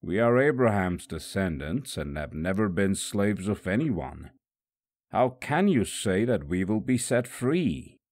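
A man's voice: lowish voice, sing-song, a little slow, darkish tone. A man voicing stubborn, indignant religious leaders of ancient Judea in a storybook narration.

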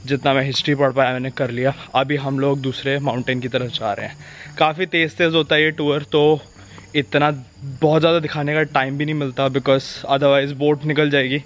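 A man's voice: mid-range voice, little pitch movement, moderate pace, fairly bright tone, a little hiss or hum. A young man from India in his twenties, chatting candidly in a casual travel vlog, thinking aloud with hesitations, filmed on a handheld camera outdoors. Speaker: travel vlogger. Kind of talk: casual travel vlog, Hindi-English mix. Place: outdoors on a boat and paths among hills. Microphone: handheld camera at arm's length. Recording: background music over outdoor sound.

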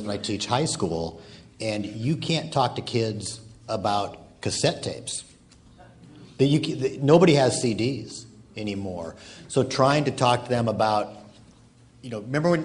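A man's voice: medium pitch, moderate pace. A man casually moderating a live stage panel, often repeating and restarting his phrases. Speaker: panel moderator, high school teacher. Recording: live stage microphone with background noise.

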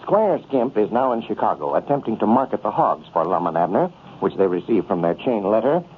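An animated male announcer in the 1930s radio manner. His pitch moves freely as he delivers scripted copy. The recording is tinny and noisy, like an old broadcast. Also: fairly quick.

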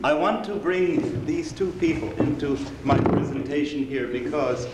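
A man's voice: medium pitch, darkish tone. Older man lecturing in a roomy hall. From Austria. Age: 60s. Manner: measured, reflective, unhurried storytelling. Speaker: rabbi and lecturer. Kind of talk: public lecture. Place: synagogue hall, seated on stage before an audience. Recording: roomy hall recording with faint hiss.

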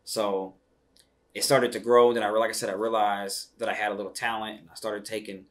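A middle-aged man speaks in a slightly low voice. He rambles comfortably through his memories, full of "you know" asides, as the guest in a sit-down studio interview. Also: brisk. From Indianapolis, Indiana. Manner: relaxed, rambling, many "you know" fillers. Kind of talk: casual interview storytelling.

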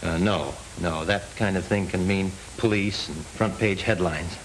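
An actor playing a polite, guarded young American chauffeur in a 1930s film, with a hissy soundtrack. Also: male voice, low voice, fairly even intonation, measured pace, poor audio. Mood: earnest, wry. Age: young adult.

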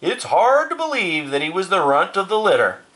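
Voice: medium pitch, very animated, normal speed, fairly bright tone, voice pushed up. A storybook narrator voicing a hearty, matter-of-fact farmer father. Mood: admiring, frank.

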